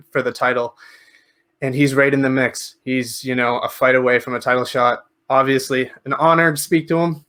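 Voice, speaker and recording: A man's voice: mid-range voice, a bit bright. A man hosting a podcast, chatting casually and conversationally as the interviewer.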